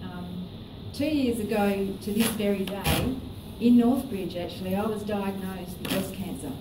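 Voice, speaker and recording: A woman's voice: mid-range voice, measured pace. Earnest middle-aged woman, echoey room. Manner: earnest, hesitant, with many fillers. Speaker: business presenter. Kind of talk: personal testimony at a meeting. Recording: echoey room, distant mic, noisy.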